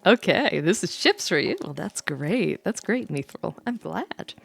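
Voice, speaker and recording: A woman's voice: on the low side, big pitch swings, a little slow, creaky, husky. A woman streamer reading a bedtime story aloud, dropping in chatty asides of her own.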